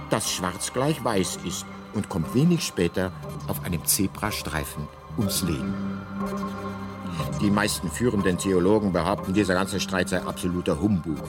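An actor playing a talking guidebook in a radio drama, narrating with deadpan, mock-scholarly precision and dry irony. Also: male voice, deep voice, normal speed, over music. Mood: dry, ironic.

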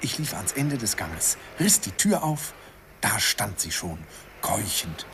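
A wistful older storyteller. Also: male voice, lowish voice, very animated, slow, sharp tone, heavily acted. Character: older man fondly recalling youthful romance. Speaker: narrator. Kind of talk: 1950s radio play narration. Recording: hissy old radio recording.

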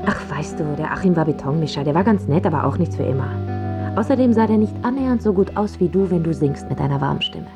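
A woman's voice: low voice, lively intonation, fast, music in the background. An actress playing a loving but pragmatic girlfriend in a radio drama, soothing and matter-of-fact. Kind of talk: understated radio drama acting.

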